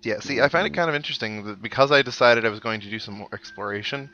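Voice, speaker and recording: A man giving casual, rambling game commentary in a low-key, dryly wry way, sounding a little unwell. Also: fast, pushed and bright. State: slightly unwell, out of it. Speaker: gaming host, let's-player. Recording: dull lo-fi mic, game music underneath. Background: video game music.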